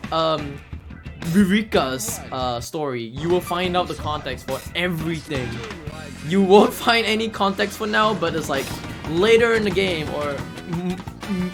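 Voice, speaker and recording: A man giving casual live commentary on a video game he is playing, breaking into excitable exclamations. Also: sits high. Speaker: speedrunner commentating on own game. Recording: game music behind the voice. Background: video game music.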